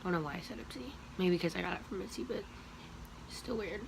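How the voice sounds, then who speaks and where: A young woman with allergy sniffles, slowly talking through a makeup tutorial as she applies products. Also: lowish voice, vocal fry, background noise. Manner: slow, chatty, talking while applying makeup. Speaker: beauty vlogger.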